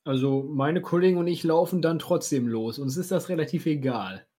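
A man's voice: a little high, moderate pace, dark tone, husky. A man in casual chat, reacting to a video with joking asides.

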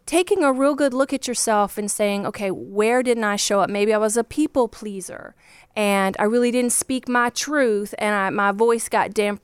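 A woman's voice: mid-range voice, normal speed, smooth, no echo. Earnest Virginia-accented woman divorce coach. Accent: Virginia Southern. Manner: earnest, passionate, speaks in long run-on explanations. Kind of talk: podcast guest discussion.

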